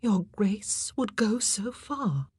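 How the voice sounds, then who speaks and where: A narrator voicing a timid, shocked young gentlewoman in a breathy, whispery voice. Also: medium pitch, very animated, slow, dry recording, clean studio sound, pitched above the performer's own voice, exaggerated voice.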